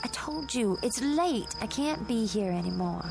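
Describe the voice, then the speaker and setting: A woman's voice: on the high side, animated, measured pace, vocal fry. An actress playing a sly, secretive young mountain woman with a rural Southern American accent in a radio drama. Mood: anxious, evasive. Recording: radio play with music bed.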